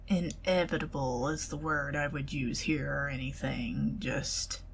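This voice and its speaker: A middle-aged woman with a Southern US accent, speaking softly and partly in whispers in an ASMR date roleplay. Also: low voice, a little hiss or hum. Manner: intimate, soft-spoken, giggly, partly whispered.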